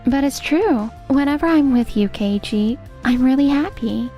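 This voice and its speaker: A woman plays a sweet young fiancée from a manga dub, pitched quite high. She is earnest and loyal, gentle yet firm. Soft music runs behind her. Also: animated, normal speed. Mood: tender, devoted. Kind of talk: animated manga dub dialogue.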